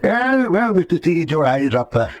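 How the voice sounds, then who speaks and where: An actor playing a fussy, eccentric doctor in a radio drama, hesitant, muttering and repeating himself. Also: male voice, fairly high pitch, sing-song, normal speed. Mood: dithering, chatty. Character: fussy, dithering, eccentric male doctor, easily alarmed.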